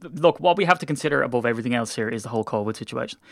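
A man's voice: mid-range voice, lively intonation, very fast, creaky, no echo, clean studio sound. A man hosting a sports podcast with casual, jokey, rambling banter. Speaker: podcast host. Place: small video studio.

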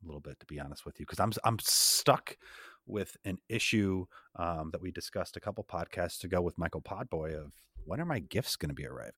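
A man co-hosting a business podcast, chatting in a relaxed way with some fillers. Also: deep voice, brisk, breathy edge, dry recording, clean studio sound. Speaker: podcast co-host. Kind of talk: casual podcast chat.

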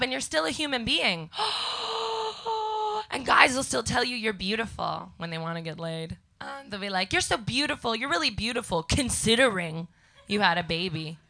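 A young Canadian woman with a scratchy voice doing a deadpan stand-up set before a live audience. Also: mid-range voice, gravelly. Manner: deadpan one-liners to a crowd. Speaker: stand-up comedian guest.

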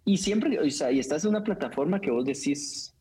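A man who preaches, talking casually in conversation, animated and quick, with filler words and laughs. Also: a little high, crowd noise.

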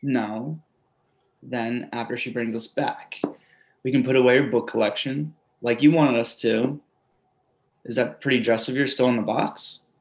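A man playing a quiet, simple middle-aged man who is patient and reassuring in a play reading. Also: mid-range voice, fairly even intonation, a little slow, noisy background, close to an everyday voice. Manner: gentle, reassuring.

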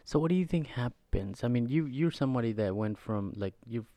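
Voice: mid-range voice, lively intonation, a little fast, mellow. An interviewer asking personal questions and encouraging the guest with many short affirmations. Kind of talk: conversational interview.

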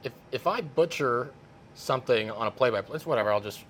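A man hosting a casual interview podcast, talking in an animated, self-deprecating, conversational way. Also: mid-range voice, a little fast, a little hiss or hum. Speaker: podcast host, play-by-play sportscaster.

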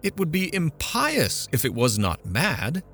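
A man's voice: huge pitch swings, a little slow, fairly bright tone, soft background music, heavily acted. A man reading a story aloud, voicing a fretful, petulant mother in a raised, complaining voice. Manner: complaining, indignant, raised pitch.

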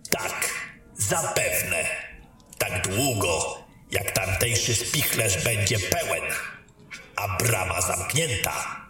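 A man playing a blunt, stubborn, mocking royal councillor in a fantasy audio drama, speaking with deliberate, biting sarcasm. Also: low voice, animated, sharp tone, heavily acted. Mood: insistent, grave. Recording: very echoey, distant, music underneath.

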